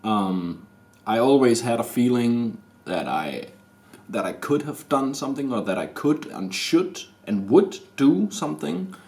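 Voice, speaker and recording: A man's voice: medium pitch, lively intonation, darkish tone. A man of thirty with a Danish accent, talking to his camera in a hesitant, rambling personal confession full of restarts. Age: 30s. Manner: hesitant, halting, many restarts and fillers. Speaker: vlogger. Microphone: camera mic.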